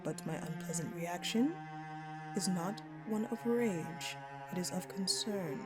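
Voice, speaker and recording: A woman playing an ancient dark goddess in an audio roleplay, speaking to the listener in formal, grandiose, commanding words, ominous yet caring. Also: fairly low pitch, unhurried, natural voice. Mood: concerned, reassuring. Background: background music.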